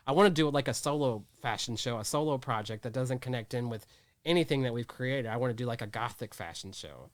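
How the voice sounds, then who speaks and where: A man's voice: medium pitch, brisk, no echo. Rambling man in his thirties, dry sound. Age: 30s. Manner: rambling, restarts often, enthusiastic. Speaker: magazine editor and producer. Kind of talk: casual interview chat.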